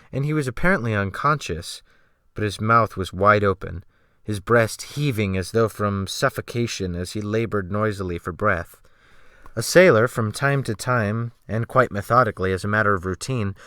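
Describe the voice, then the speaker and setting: A man narrating an audiobook as a dramatic reading, with measured, formal and emphatic phrasing. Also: fairly low pitch, a bit bright, dry recording, clean studio sound.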